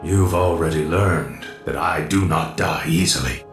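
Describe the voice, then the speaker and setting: A man's voice: extremely low pitch, measured pace. A man voicing a vengeful, bitter alien rebel leader in a video game, with a grave, accusing manner. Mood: bitter, defiant. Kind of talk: video game cutscene acting. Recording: echoing voice over game music.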